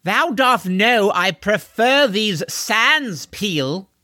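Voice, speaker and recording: Guy doing a snooty spoiled rich horseman for an audiobook, pompous olde speech, high voice, sneery. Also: big pitch swings, takes it slowly, bright, forceful tone, no echo, studio quality, exaggerated voice. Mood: petulant.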